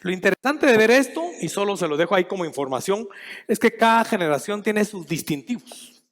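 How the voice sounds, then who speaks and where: A middle-aged man from Guatemala speaking at a conference in a high, light voice. He talks in a measured way, thinking aloud with restarts and pauses. The sound carries a long hall reverb. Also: lively intonation. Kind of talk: conference talk.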